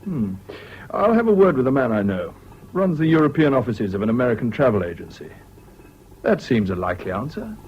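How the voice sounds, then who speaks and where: Sensible English confidant male actor, sympathetic, matter-of-fact, mid-pitched, slightly hissy vintage radio drama. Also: very animated, a little fast, natural voice. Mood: practical. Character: steady, practical, sympathetic friend and confidant. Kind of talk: British radio drama acting. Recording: dull, hissy old radio recording.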